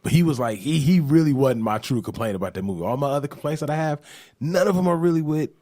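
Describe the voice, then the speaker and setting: A man's voice: a little high, lively intonation, quick pace, warm tone, dry recording, studio quality. A man in casual, joking podcast banter, slangy and sweary. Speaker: podcast panelist.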